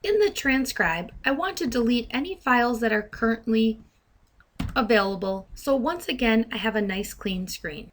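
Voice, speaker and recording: Woman doing a software how-to voiceover, kinda high voice, methodical trainer type going step by step. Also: lively intonation, moderate pace. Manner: instructional, methodical. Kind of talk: step-by-step tutorial narration.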